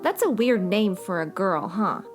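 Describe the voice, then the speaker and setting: Bitter tipsy moll, slow drawl, animated pitch, radio noir comedy, faint brassy music. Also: female voice. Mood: boozy. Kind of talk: old-time radio comedy acting.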